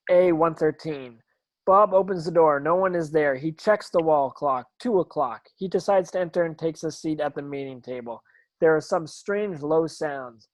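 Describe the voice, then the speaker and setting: Higher-pitched man reading stage directions, dry sound. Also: no room sound. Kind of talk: scripted screenplay narration.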